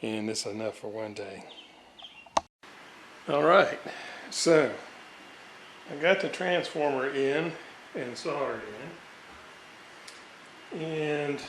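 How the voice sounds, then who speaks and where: A lower-pitched man talks slowly and haltingly through a hands-on repair, thinking aloud as he works. His voice sits in a roomy, echoey space with faint noise behind it. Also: fairly bright tone. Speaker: hobbyist radio restorer narrating his repair. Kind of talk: unscripted workbench walkthrough. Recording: roomy echo, background noise, uneven level.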